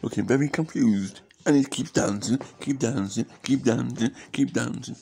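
A man's voice: mid-range voice, moderate pace, creaky, a little mellow, a little hiss or hum. A young man from England, losing his voice, rambling jokily to camera at home and narrating himself in the third person. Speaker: vlogger. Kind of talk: casual rambling self-commentary. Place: small dim living room at home. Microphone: webcam or phone camera at close range.

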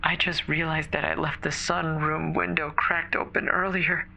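Frightened, bright-voiced woman emergency caller acted out. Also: mid-range voice, flat delivery, a little hiss or hum, rough recording. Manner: halting, fearful, breathy stammering. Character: terrified woman caller alone in a snowbound house. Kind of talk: horror audio drama, dramatic reading.

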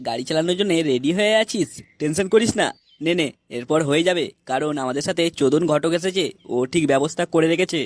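A man's voice: fairly high pitch, quick pace, natural voice. A man voicing a brash, foul-mouthed young friend in a comedy cartoon, slangy and bossy. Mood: reassuring. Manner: slangy, scolding.

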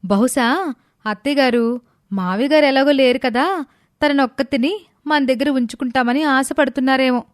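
A woman playing a sharp-tongued, spiteful daughter-in-law who speaks with sarcasm and scorn. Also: high-pitched, moderate pace, pauses often, no room sound, clean studio sound, pitched above the performer's own voice, natural voice. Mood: scornful.

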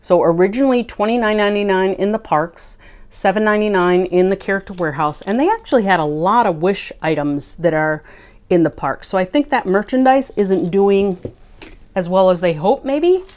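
A woman's voice: medium pitch, animated, moderate pace, darkish tone. A middle-aged woman chatting casually to camera from her craft room at home, thinking aloud with fillers as she shows items. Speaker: vlogger showing a haul.

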